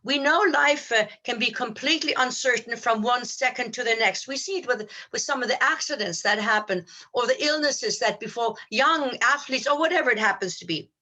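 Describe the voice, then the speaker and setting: Woman, strikingly bright tone, higher-pitched, podcast co-host, reflective spiritual talk, earnest, measured.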